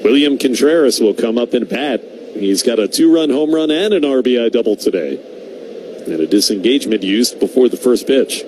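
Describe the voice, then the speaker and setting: A man calling live baseball play-by-play as a radio announcer. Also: medium pitch. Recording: clear background noise, outdoor ballpark ambience.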